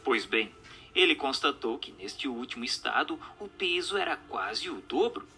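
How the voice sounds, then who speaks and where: A man's voice: mid-range voice, very animated, normal speed, sharp tone, soft background music. A man with a Brazilian accent reading scripted book narration as a narrator.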